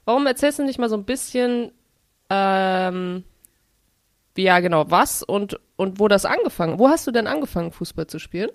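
A woman's voice: medium pitch, lively intonation, moderate pace, dry recording, studio quality. A woman hosting a casual podcast chat and interviewing a guest in an informal way.

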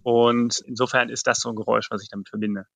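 A man from the Ruhr area, an engineer answering interview questions in a relaxed way with lots of fillers. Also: mid-range voice, fast talker, vocal fry, no echo. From Ruhr area, Germany. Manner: relaxed, many filler phrases. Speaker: test lab engineer, guest.

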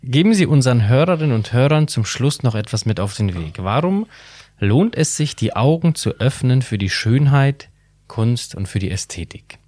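A man's voice: mid-range voice, very animated, normal speed, darkish tone, no room sound. A man hosting a podcast interview, putting prepared questions politely and formally.

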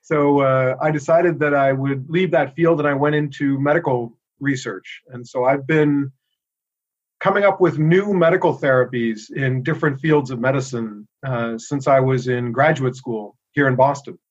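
A middle-aged American man talks through his work in a relaxed, thoughtful way, pausing often with small fillers. His voice sits at a middle pitch. The audio is a little dull, as over a remote call. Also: husky. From United States. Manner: relaxed, thoughtful, many "uh" pauses. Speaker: inventor and guest. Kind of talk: casual interview chat.

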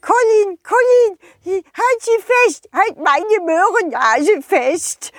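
A woman's voice: very high-pitched, extremely slow, no echo, clean studio sound, voice pushed up, full character voice. A woman voicing a little snowman in a children's audio play, whiny, fretful and childlike, stammering and breaking into sneezes. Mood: panicky.

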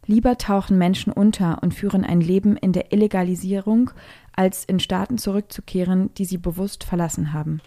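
A woman's voice: mid-range voice, fairly even intonation, brisk, mellow. A woman presenting scripted news, reading it aloud.

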